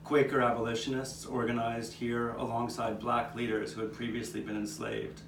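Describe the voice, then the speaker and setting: Puppet show role: man as reflective old male street cat telling his past, measured, recorded from afar over clear noise. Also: medium pitch, flat delivery, close to an everyday voice. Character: old male street cat, reminiscing storyteller with nine lives. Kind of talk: scripted puppet show narration. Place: outdoor courtyard. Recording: distant, echoey live taping with background noise.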